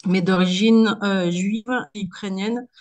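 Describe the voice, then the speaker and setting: Slow-talking woman from Moldova, halting delivery. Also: mid-range voice, fairly even intonation, a little mellow. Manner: halting, searching for words. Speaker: guest speaker, association founder. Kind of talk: public debate interview, spontaneous speech.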